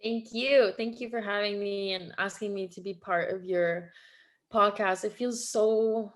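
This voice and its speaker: A woman's voice: mid-range voice, steady tone. Earnest woman. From Quebec, Canada. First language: French. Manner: heartfelt, earnest, halting with many fillers. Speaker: podcast guest. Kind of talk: casual podcast interview.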